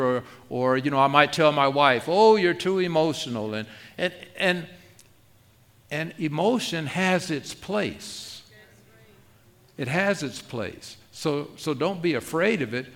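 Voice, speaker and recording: A man's voice: on the high side, fairly bright tone. A man preaching a sermon as pastor, conversational and self-deprecating, often asking the congregation rhetorical questions.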